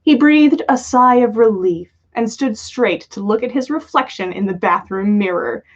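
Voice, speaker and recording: A woman reading a story aloud as a livestream host, with lo-fi sound. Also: mid-range voice. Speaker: podcast host reading fan fiction aloud. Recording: dull lo-fi livestream audio.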